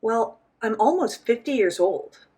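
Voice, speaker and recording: Husky-voiced woman interpreting an interview. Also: fairly high pitch, animated, measured pace, dark tone. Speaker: voice-over interpreter for a signed interview. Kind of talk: voicing sign language interpretation for both host and guest.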